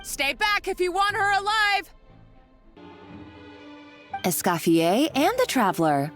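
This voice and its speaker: An actress playing a smug, scheming villainess in a video game, theatrical and mocking. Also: female voice, very high-pitched, huge pitch swings, slow, fairly bright tone, faint music behind, heavily acted. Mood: threatening. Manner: mocking, drawling, theatrical laughter.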